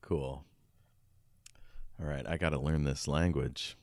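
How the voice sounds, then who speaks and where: A man's voice: rumbling low, steady tone, slow, darkish tone, no echo, clean studio sound. A man hosting a podcast, casually chatting about a book. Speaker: podcast host. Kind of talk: casual book discussion chat.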